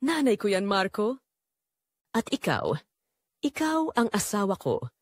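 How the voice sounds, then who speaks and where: Woman acting betrayed, heartbroken Filipino wife, expressive. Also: medium pitch, unhurried, dry recording, studio quality. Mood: accusing, angry.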